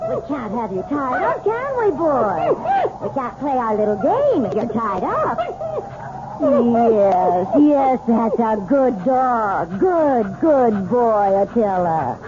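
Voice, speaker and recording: High-voiced, fluttery housewife. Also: female voice, very animated, slow, heavily acted. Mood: coaxing, sly. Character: outwardly sweet, secretly vengeful housewife with a dog. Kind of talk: 1970s radio drama acting. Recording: muffled, noisy old radio recording.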